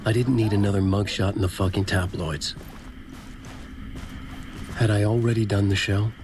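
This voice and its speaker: A bitter, hard-boiled male writer acted by a man narrates in a lowish voice under loud background noise. He broods, gritty and bewildered, in video game noir style. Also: fairly even intonation, moderate pace, lightly acted. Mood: confused, bitter. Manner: brooding, gritty inner monologue. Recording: loud noise and ambience behind.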